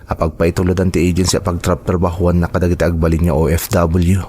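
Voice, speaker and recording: A man's voice: sits low, flat delivery, quick pace, dark tone, slight background noise, lightly acted. A man with an Ilocano accent acting a trusting, devoted young son and partner in an audio drama, speaking earnestly and reassuringly. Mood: hopeful, reflective.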